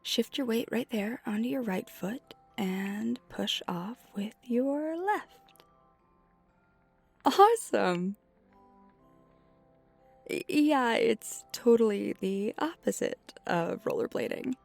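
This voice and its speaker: A woman playing a flirty, friendly vampire in an ASMR roleplay, speaking softly and reassuringly with a breathy, near-whispered delivery. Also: fairly high pitch, animated, takes it slowly, long pauses, no room sound, exaggerated voice. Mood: encouraging. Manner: soft-spoken, gently reassuring, often breathy near-whisper. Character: flirty friendly female vampire teaching ice skating. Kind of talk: ASMR roleplay, one side of a conversation. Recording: music behind the voice.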